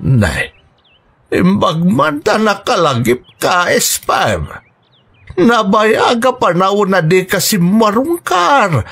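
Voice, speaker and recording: A man's voice: high voice, wildly swooping pitch, slow pace, creaky, fairly bright tone, slightly rough, exaggerated voice. A man playing a reproachful old father in a radio drama, with an Ilocano accent. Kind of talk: Filipino radio drama acting. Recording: music bed under dialogue. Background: music.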